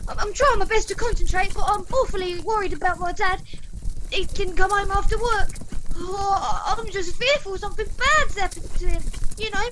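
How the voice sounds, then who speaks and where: A woman's voice: extremely high pitch, a bit bright, slight background noise, poor audio. A woman playing a plucky, cheerful little boy who drives a carriage in a comic radio play.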